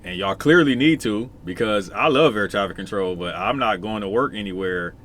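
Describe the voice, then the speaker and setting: A low-voiced American man talks off the cuff in casual commentary. He circles back and repeats phrases to drive them home. There is clear noise behind the voice. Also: moderate pace, fairly bright tone. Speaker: commentator, former air traffic controller. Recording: noticeable background noise.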